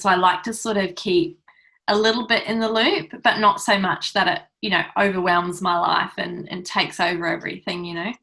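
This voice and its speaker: Give me a woman interview host with a lowish, crisp, slightly gravelly voice. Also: pushed and bright. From born in New Zealand, raised in Europe. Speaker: podcast host. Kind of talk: casual interview chat.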